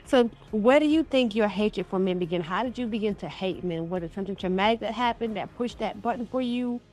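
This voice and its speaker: A woman's voice: mid-range voice, fairly quick, a little mellow. A woman hosting a conversational interview show, talking in a folksy, affirming way and addressing listeners directly. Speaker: radio show host.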